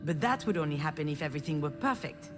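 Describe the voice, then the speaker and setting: A woman's voice: deep voice, lively intonation, fast talker, over music. An actress playing a frustrated, self-deprecating woman who narrates her family wounds in a confiding, bitter game monologue. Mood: regretful, confiding. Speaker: visual novel protagonist. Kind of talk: dramatic first-person game narration.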